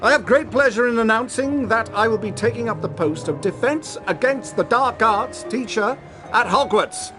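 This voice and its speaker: Vain flamboyant wizard celeb, theatrical announcing voice, music underneath. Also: male voice, extremely high pitch, moderate pace. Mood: showy. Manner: theatrical, self-important. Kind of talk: video game voice acting.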